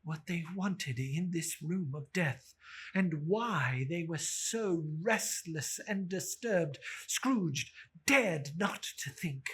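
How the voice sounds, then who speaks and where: Narrator for dramatic classic fiction: solemn, theatrical, unhurried, with a bright, breathy edge. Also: mid-range voice, lively intonation. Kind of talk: dramatic scripted storybook narration.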